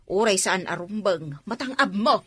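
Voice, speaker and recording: A woman's voice: mid-range voice, lively intonation, normal speed, slightly rough. Scolding, skeptical mother. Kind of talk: radio drama acting.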